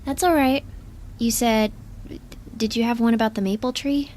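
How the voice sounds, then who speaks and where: An actress playing an inquisitive, incredulous spaceship crew member in an audio drama, blunt and questioning. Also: female voice, fairly high pitch, steady tone, moderate pace, darkish tone, slight background noise, natural voice. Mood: curious. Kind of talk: naturalistic audio drama acting.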